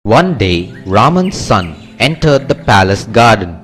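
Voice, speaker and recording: A man narrating a children's story in a clear, expressive way. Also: lowish voice, measured pace. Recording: music under the narration.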